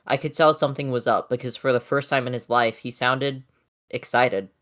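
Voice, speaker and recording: Someone voicing a solemn robot war leader, grave and measured. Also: mid-range voice, steady tone, a bit bright, close to an everyday voice. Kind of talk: dramatic comic-dub narration.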